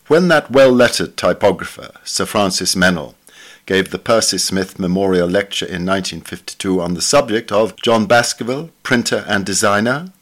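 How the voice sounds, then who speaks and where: A man reading a literary article aloud as scripted narration, in a measured, formal manner. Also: lowish voice, fairly bright tone. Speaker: reader of a journal article.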